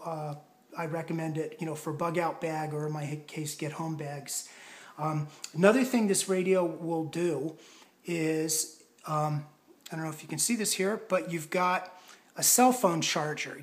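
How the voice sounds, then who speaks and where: A man giving a casual product demonstration in a measured, explanatory and somewhat hesitant way. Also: fairly high pitch. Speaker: product reviewer.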